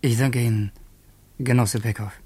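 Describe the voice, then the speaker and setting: An actor playing an anguished young secret revolutionary in a German radio play, tense and brooding, with a husky voice that slips toward a whisper. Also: male voice, medium pitch, steady tone, normal speed, warm tone, close to an everyday voice. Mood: exhausted, despairing. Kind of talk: German radio play acting with inner monologue.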